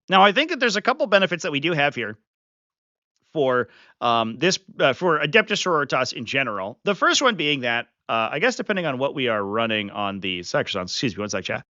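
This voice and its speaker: Male streamer, sniffly, chuckling and lively in pitch, up-close dry sound. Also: no echo. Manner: animated, chuckling, filler-heavy. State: sniffly with a runny nose. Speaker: live stream host. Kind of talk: casual live strategy breakdown. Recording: slightly muffled, dry sound.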